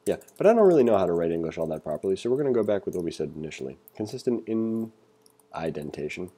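Guy walking thru code casually, really mellow dark low voice, kinda thinking out loud. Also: very animated, quick pace, slightly rough. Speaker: coding instructor. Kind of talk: informal tutorial read-along narration.

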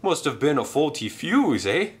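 Chatty engine, high and offhand, lively; a man plays him. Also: moderate pace. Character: chatty male engine, easygoing.

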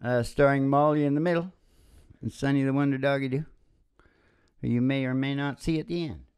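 An older man hosting a homemade show with informal, halting, joking banter into a stage microphone. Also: mid-range voice, steady tone, a little mellow, smooth. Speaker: radio-style show host and country singer. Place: small dim wood-panelled room, indoors. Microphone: vocal microphone on a stand. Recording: dull lo-fi live recording with guitar.

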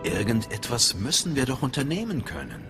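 A man's voice: mid-range voice, huge pitch swings, brisk. A man playing a cocky, sardonic Sith apprentice in a video game. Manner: haughty, sardonic. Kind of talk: scripted video game acting in German. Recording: long reverb, loud music under voice.

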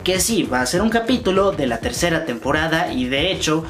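A man's voice: fairly high pitch, expressive, brisk, a bit bright. A man, hosting a video of fan news and theory commentary, talking to camera in a small room. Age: 20s. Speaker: YouTube host. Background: music.

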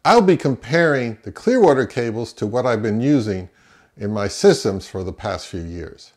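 A man's voice: mid-range voice, big pitch swings, moderate pace, darkish tone. An American man in his fifties giving a semi-scripted review to camera, emphatic and opinionated, posing questions and answering them himself. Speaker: audio reviewer and host. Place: small indoor home office.

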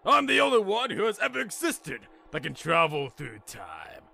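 Guy doing a boastful mad scientist for a story, loud and excitable, pitched up, super bright. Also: moderate pace, creaky, faint music behind, heavily acted. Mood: scornful. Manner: blustering, exclamatory.

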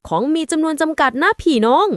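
A woman's voice: high voice, animated, fast, no room sound, studio quality, voice pushed up. A woman voicing a sly, greedy male merchant with wheedling, boastful patter in a storybook tale. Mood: hawking, urgent.

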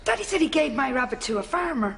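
A high, lively Dublin voice for a grown daughter fed up with her mammy. She protests and scolds in sitcom style. Loud studio noise sits behind her. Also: fast, gravelly, exaggerated voice. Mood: exasperated. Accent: Dublin Irish. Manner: exasperated, protesting. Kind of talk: sitcom acting before a live audience. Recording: noisy live studio with audience sound.

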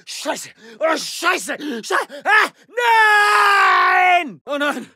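Shouting, high-pitched soldier. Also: male voice, wildly swooping pitch, drawn-out words, gravelly, dry recording, heavily acted. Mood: panicked. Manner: barking, urgent, forceful military orders. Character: gruff, commanding German soldier in battle, male. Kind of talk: shouted video game combat voice lines.

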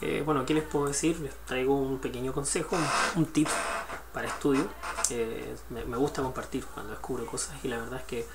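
A young man with a medium-pitched voice talks informally through a tutorial from a room indoors. He rambles and hesitates as he goes, and there is clear noise in the recording. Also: fairly even intonation, brisk. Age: young adult. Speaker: student sharing a study tip. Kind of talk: casual chat in a screen-recorded tutorial. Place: small indoor room at a computer.

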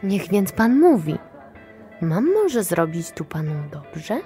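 A woman's voice: medium pitch, sing-song, slow pace, mellow, faint music behind. An actress playing a wary, cynical, sweary woman moonlighting in underground sex work, in a radio play. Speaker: actress in an audio drama.

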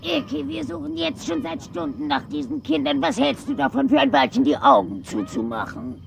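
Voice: high-pitched, very animated, normal speed, creaky, a little hiss or hum, full character voice. A performer playing a dim, put-upon, half-blind crocodile who grumbles plaintively in a children's audio drama. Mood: weary pleading. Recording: music under the voice.

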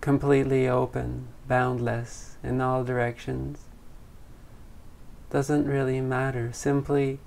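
Slow, creaky-voiced man guiding meditation. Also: medium pitch, level tone. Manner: gentle, unhurried, with long pauses. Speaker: meditation teacher. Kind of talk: guided meditation.

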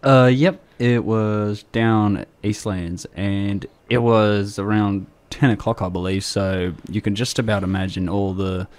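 A man with an Australian accent co-hosting a radio chat show, giving his take on a film in measured, slightly formal phrasing. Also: on the low side, fairly even intonation, darkish tone. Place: community radio studio.

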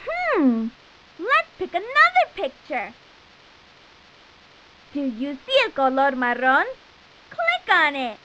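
A woman playing a cheerful, encouraging little girl cartoon explorer, enunciating clearly as she gives enthusiastic instructions in a children's educational game. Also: high voice, huge pitch swings, takes it slowly, long pauses, background noise, full character voice. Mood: encouraging, instructive.

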